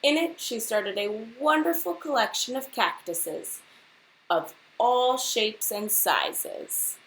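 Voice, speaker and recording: A woman reading a children's storybook aloud like a teacher to a class, expressively and deliberately, putting questions straight to her young listeners. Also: fairly high pitch, expressive, a bit bright, low-quality recording.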